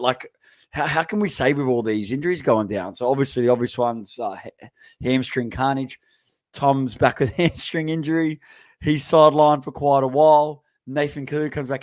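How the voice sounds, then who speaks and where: A man's voice: mid-range voice, moderate pace. A man co-hosts a laid-back sports podcast in a mid-range voice. He rambles easily, full of ums and restarts. The sound is dry and close, with no room echo. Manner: relaxed, rambling, with many fillers. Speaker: podcast co-host. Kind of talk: casual sports podcast chat.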